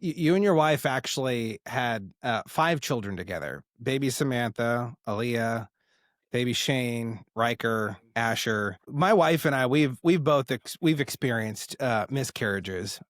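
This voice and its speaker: A man hosting a podcast, asking questions gently and haltingly, with many restarts. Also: mid-range voice, dry recording, clean studio sound. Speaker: podcast host. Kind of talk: interview questions.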